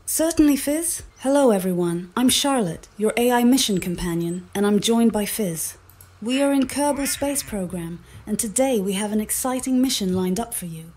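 Mellow, husky synthetic female AI assistant. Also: medium pitch, lively intonation, normal speed. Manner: polite, formal, articulate. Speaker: AI mission companion. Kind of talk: scripted assistant-style presentation. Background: background music.

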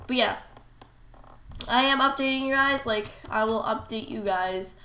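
A woman's voice: a little high, measured pace, sharp tone. A teenage girl chatting casually while playing a game, rambling with lots of fillers. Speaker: gameplay commentator. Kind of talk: casual gameplay chat. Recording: echoey room, faint hiss. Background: keyboard clicks and faint noise.

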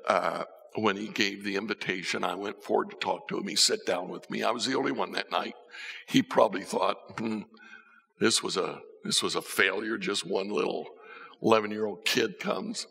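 An older man preaching a sermon from a church stage, telling stories and swinging between soft and emphatic lines. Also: fairly low pitch, gravelly, husky. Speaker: pastor. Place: church stage with band instruments. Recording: church hall reverb.

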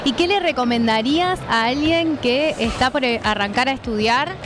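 A woman's voice: high-pitched, normal speed. A young Argentine woman hosting a stream, asking questions in a casual way. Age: young adult. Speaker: stream host. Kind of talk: casual interviewing. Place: large indoor fair hall. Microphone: handheld mic. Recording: background music at busy fair.

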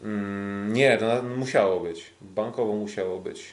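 A man's voice: lowish voice, a little slow. A man co-hosting a sports podcast in casual, unscripted talk, hesitant and often repeating himself. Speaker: podcast co-host and kickboxing commentator.

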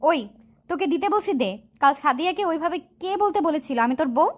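A woman's voice: high-pitched, animated, moderate pace. A woman voicing a feisty, bossy college girl who scolds, in an audio love story. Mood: demanding.

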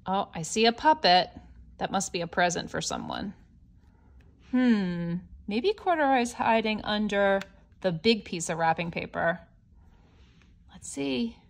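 A woman reading a picture book aloud to young children, explaining it simply in an expressive, questioning, child-directed manner. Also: mid-range voice, vocal fry. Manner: expressive, questioning, with gasps and hushed asides; deliberate, child-directed phrasing. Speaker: storybook reader for young children.

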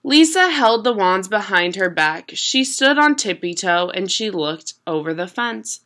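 A young adult woman reading a children's picture book aloud at home in an expressive, deliberate storytelling manner. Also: medium pitch. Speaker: storybook reader. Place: small room at home.

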